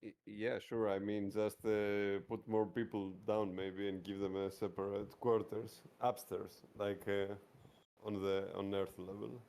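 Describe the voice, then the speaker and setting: A man's voice: fairly low pitch, level tone, a little slow, darkish tone, a little hiss or hum. A man playing a tabletop roleplaying game, chatting casually in an easygoing, tentative way. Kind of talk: casual chat.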